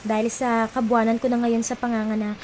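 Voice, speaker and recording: A woman's voice: fairly high pitch, flat delivery, brisk, a little mellow, a little hiss or hum, lightly acted, rough recording. A woman playing a polite, coaxing pregnant supervisor in a radio drama.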